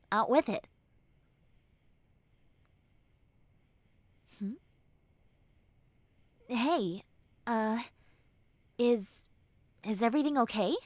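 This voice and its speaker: A woman voicing a prickly but caring tsundere young woman in a roleplay audio, with halting, sighing delivery. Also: on the high side, no echo. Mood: curt, annoyed, then concerned, softening. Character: prickly tsundere young woman who turns tender and comforting. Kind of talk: roleplay audio acting addressed to the listener.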